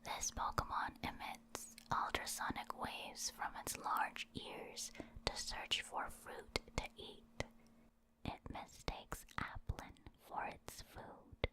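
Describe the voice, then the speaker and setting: A woman reading reference entries in an unvoiced, breathy ASMR whisper, with measured, spaced-out phrasing. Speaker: ASMR host.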